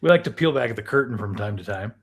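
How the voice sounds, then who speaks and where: A man hosting a podcast in a casual conversational style, deliberate and questioning as he steers the talk. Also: lowish voice, animated, gravelly, husky. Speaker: podcast host.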